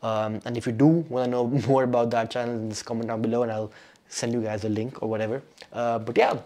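Young male doctor-vlogger, Urdu native, relaxed chatty update with lots of ums and restarts. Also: mid-range voice, fairly quick. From Pakistan. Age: young adult. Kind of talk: casual vlog life update.

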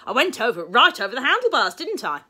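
A woman voicing an excitable young English boy from a storybook, loud and piercing, eager and full of questions. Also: fairly high pitch, fast talker, husky, pitched above the performer's own voice, full character voice. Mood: excited, eager. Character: excitable little boy, cheeky pestering younger brother.

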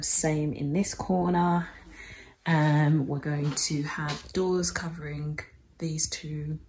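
A woman's voice: low voice, a little mellow, a little hiss or hum. A woman, chatting casually in a home vlog, filmed on a handheld phone in her kitchen. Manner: chatty, many ums and likes. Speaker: home vlogger. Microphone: phone held at arm's length.

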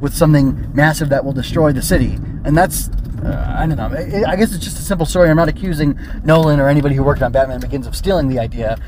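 A man's voice: on the high side, fast talker, background noise. A man hosting a casual solo review podcast, rambling and thinking aloud with tentative digressions. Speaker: podcast host and film reviewer.

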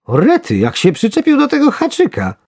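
A storyteller voicing a bewildered, flustered male angler from a storybook, muttering to himself and trying to stay calm. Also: low voice, unhurried, breathy edge, no room sound, studio quality, exaggerated voice. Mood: astonished. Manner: muttering, self-soothing.

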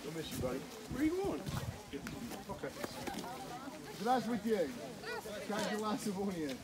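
A man from the United States, an aid volunteer chatting warmly in halting Russian outdoors, recorded on a handheld camera. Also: high voice, sing-song, very noisy. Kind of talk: casual on-the-spot vlogging. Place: outdoors in a village yard. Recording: busy outdoor crowd behind.

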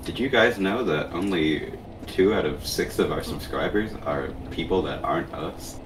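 An echoey recording of a man a little way from the mic. His voice is low, and he banters in a jokey, mock-serious way while gaming. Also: moderate pace. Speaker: gaming video co-host playing the game. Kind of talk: casual joking banter while playing a video game. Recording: distant echoey room, faint noise.

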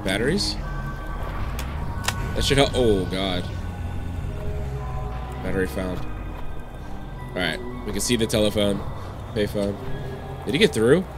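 A man's voice: slow pace, long pauses. A man in his thirties with a nasal voice, getting over a cold, giving jumpy, swearing commentary while playing a horror game on a headset mic. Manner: jumpy, swearing, yelps in high bursts when startled. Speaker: gaming streamer. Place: indoors at a gaming desk. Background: game music.

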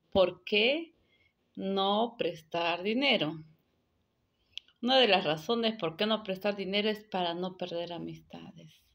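A young woman giving earnest advice to a phone held close to her face, repeating her points and dropping to soft near-whispers. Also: on the low side. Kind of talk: casual advice monologue to camera.

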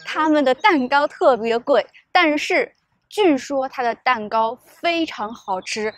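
Chatty upbeat woman vlogger, higher voice. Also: no echo. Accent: Shanghai Mandarin. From Shanghai, China. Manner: chatty and upbeat, talks straight to the viewer. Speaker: travel vlogger. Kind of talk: casual vlog narration. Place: garden and wooden café, mostly outdoors. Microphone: small clip-on mic on the shirt. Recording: soft music underneath.

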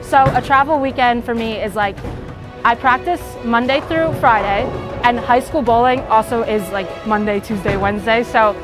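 A woman's voice: a little high, moderate pace. A teenage girl talking casually about bowling in an upbeat, chatty way with lots of fillers, interviewed in a bowling alley. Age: teens. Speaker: high school bowler. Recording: music playing underneath.

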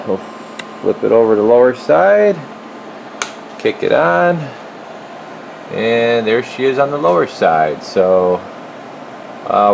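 A man's voice: mid-range voice, creaky, low-quality recording. A man giving a casual, folksy hands-on demonstration as a repair technician, talking informally while he works in an indoor workshop, recorded on a handheld camera. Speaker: radio repair technician presenting his work. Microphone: handheld camera microphone. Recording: steady loud equipment hum and noise.

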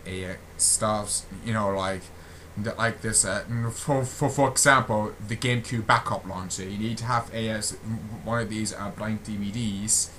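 A young man giving a casual channel update as a gaming video creator, halting and often repeating his phrases, on a built-in computer microphone. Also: on the low side, steady tone, sharp tone, slight background noise. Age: young adult.

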